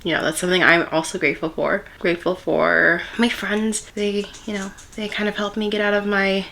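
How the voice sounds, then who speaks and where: A woman in her late twenties chatting candidly while doing her makeup, slightly hoarse and congested from a cold, in a soft, rambling way. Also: mid-range voice, level tone, brisk. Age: 20s. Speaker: vlogger. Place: at home.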